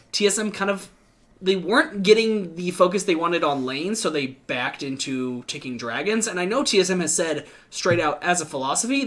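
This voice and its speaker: A man hosting a casual esports podcast, talking quickly with restarts and the odd swear word. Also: on the high side, fairly bright tone. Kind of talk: casual esports analysis chat.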